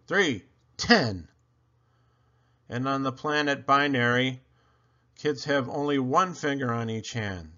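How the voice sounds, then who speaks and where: A man reading a children's picture book aloud in an animated storyteller style, with dramatic, emphatic phrasing and playful swoops. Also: mid-range voice, muffled recording.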